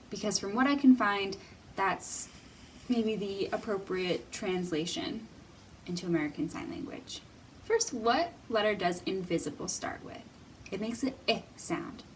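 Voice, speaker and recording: A woman hosting a children's storytime, speaking in simple, deliberate, teacherly phrases with pauses and questions for young viewers. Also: medium pitch, a little mellow, far from the mic, slight background noise, rough recording. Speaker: children's library storytime host.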